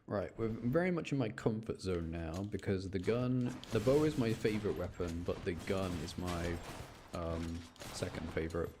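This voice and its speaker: A man's voice: deep voice, lively intonation, normal speed. A man doing casual, chatty live gameplay commentary, explaining mechanics and muttering to himself, with game sound behind him. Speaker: game streamer. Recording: clear background noise, game sounds behind. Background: video game sound.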